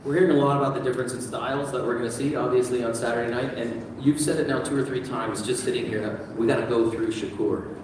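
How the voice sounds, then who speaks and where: A man's voice: medium pitch, fairly even intonation, quick pace, background noise. A man hosting a boxing press conference, asking the fighters questions. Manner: hyped announcer build-up at the close. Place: large event room. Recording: echoey hall picked up from far off.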